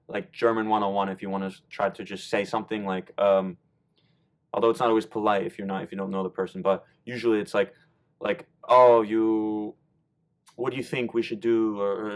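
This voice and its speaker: Rambling American man, lowish voice. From United States. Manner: loose and rambling, restarting sentences. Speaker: YouTuber explaining German rap lyrics. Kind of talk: casual explanatory talk.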